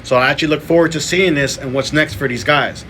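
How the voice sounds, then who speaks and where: A man's voice: on the high side, fast, bright, forceful tone, a little hiss or hum. A man hosting a podcast, chatting casually as he runs through fight lineups and picks. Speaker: podcast host. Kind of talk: casual boxing podcast talk.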